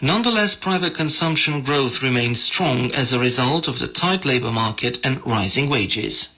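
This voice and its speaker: Bright-voiced male radio host. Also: medium pitch, expressive, normal speed, faint music behind, low-quality recording. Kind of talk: scripted news magazine narration. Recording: digital shortwave radio broadcast.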